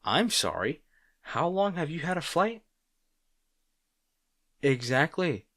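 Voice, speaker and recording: A man playing a grudgingly protective supervillain rival in an intimate audio roleplay, sarcastic and exasperated but caring, speaking haltingly to the listener. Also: a little high, sing-song, dry recording, clean studio sound, voice pushed up, exaggerated voice. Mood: reassuring, gentle. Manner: halting, sighing, exasperated yet soft.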